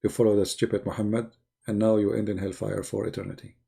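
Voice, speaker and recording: Husky, gravelly man rambling on a live stream. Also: on the low side, flat delivery, fast, warm tone. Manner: teasing, sarcastic, repeats phrases. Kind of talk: rambling religious debate live stream chat.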